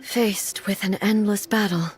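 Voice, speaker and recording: A woman playing a solemn, dignified ruling goddess in a video game, speaking formally and with measured earnestness. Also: medium pitch, fairly even intonation, natural voice. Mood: solemn, resolute. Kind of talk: video game character acting. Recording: faint music behind the voice.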